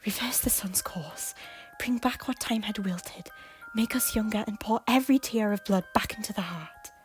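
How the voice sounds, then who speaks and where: A woman voicing a guilt-ridden former queen who pleads in breathy, often whispered lines. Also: medium pitch, moderate pace, faint music behind, natural voice. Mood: pleading. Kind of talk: fan audio drama acting.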